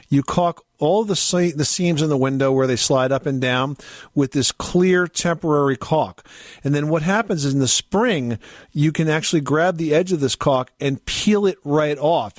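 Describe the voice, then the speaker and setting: A man's voice: a little high, dry recording, clean studio sound. A man hosting a home improvement call-in show, explaining things in an emphatic, folksy, conversational way. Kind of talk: conversational advice radio hosting. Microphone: close studio microphone.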